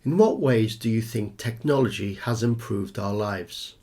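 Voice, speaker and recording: A man acting as an exam tutor, giving scripted instructions in a clear, instructional manner. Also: lowish voice, unhurried, darkish tone.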